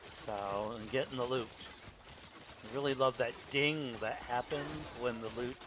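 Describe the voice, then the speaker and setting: A man streaming a game, chatting in a relaxed, rambling way. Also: medium pitch, a little slow, background noise. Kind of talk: casual commentary while gaming.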